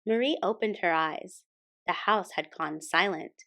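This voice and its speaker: A woman hosting a storytime and reading a children's picture book aloud as expressive scripted narration. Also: on the low side, unhurried. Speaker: storytime host and reader.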